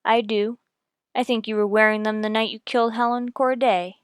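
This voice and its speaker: A woman's voice: on the high side, flat delivery, normal speed, clean tone, no room sound, clean studio sound, natural voice. A woman playing a no-nonsense, methodical police detective in a radio drama, clipped and matter-of-fact. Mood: pointed. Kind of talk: old-time radio police drama, scripted narration and dialogue.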